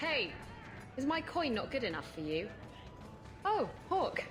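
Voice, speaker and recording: An actress playing a brash, flirtatious pirate captain in a video game cutscene, teasing and sardonic. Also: female voice, high-pitched, big pitch swings, unhurried, music in the background. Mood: playful, teasing.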